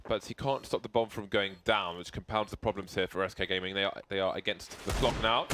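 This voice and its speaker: A man calling the action as an esports commentator, brisk and with a dry sense of humor. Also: fairly low pitch, background noise. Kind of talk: play-by-play commentary.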